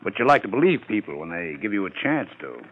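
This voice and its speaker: An actor playing a sarcastic, educated homicide lieutenant with precise, wordy delivery in 1950s radio crime drama. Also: male voice, lowish voice, very animated, fast, breathy edge, narrow, tinny audio. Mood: skeptical, lecturing.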